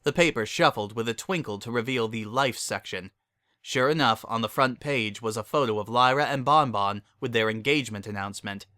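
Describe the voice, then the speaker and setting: A man's voice: mid-range voice, fairly quick, bright, forceful tone, no echo, studio quality. A man reading scripted dramatic story narration as a narrator.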